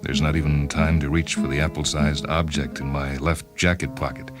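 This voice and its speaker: A man reading a first-person short story in a wry, measured, literary way, as a dramatic narrator. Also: rumbling low, steady tone. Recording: music bed behind the voice. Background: instrumental music with guitar.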